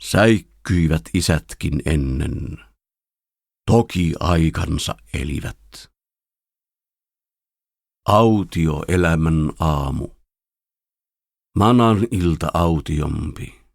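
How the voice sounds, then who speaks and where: A man reciting as a dead father's somber, consoling spirit, speaking solemn verse slowly from the grave. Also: very deep voice, gravelly, dry recording, studio quality, exaggerated voice. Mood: bleak, solemn.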